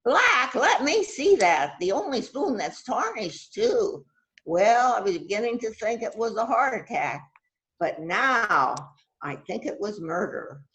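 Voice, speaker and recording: A woman's voice: fairly high pitch, sing-song, fairly bright tone, poor audio. A woman playing a self-assured, dramatic detective who bosses an assistant around in an amateur mystery play. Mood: dramatic, accusing. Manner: deliberate, dramatic, musing. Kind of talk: amateur readers theater, radio mystery acting.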